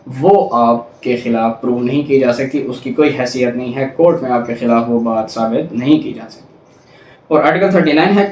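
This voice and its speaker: A man's voice: mid-range voice, darkish tone. A man with a Pakistani accent giving an explanatory law lecture in a didactic way, repeating points for emphasis. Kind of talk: explanatory lecture, reading statutes and explaining them. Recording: roomy echo, distant mic, faint noise.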